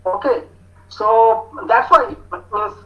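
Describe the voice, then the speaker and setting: A man with an Indian English accent giving an online talk to students, speaking slowly with many hesitations as he reads out their answers. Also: high-pitched. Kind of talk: online lecture with student chat. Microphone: video call. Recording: muffled video call audio.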